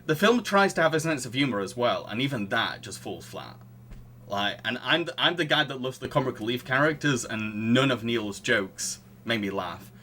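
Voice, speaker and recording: A man's voice: mid-range voice, expressive, normal speed, sharp tone, a little hiss or hum. A man hosting a casual film review podcast, opinionated and mocking in manner. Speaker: podcast host.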